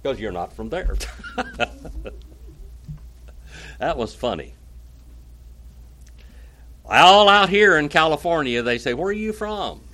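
A man's voice: fairly high pitch, big pitch swings, takes it slowly, pauses often, pushed and bright. A man from Oklahoma with an Oklahoma accent, teaching a Bible class in a folksy way and calling on listeners by name. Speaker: pastor.

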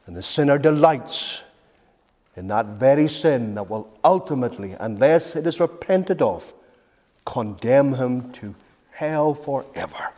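A man preaching a sermon in an emphatic, rhetorical way, with repeated phrases and dramatic pauses. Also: mid-range voice, expressive, darkish tone. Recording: long reverberant hall echo.

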